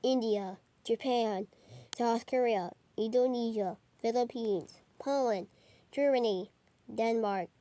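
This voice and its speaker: A woman reading a homemade mock emergency broadcast in a halting, improvised way with stumbles. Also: a little high, mellow. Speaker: narrator of a homemade emergency alert scenario.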